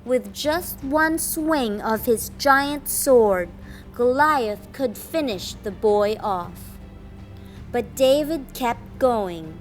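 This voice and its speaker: A woman reading a children's story aloud in a dramatic, expressive way. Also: a little high, drawn-out words, faint music behind. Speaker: storyteller, teacher.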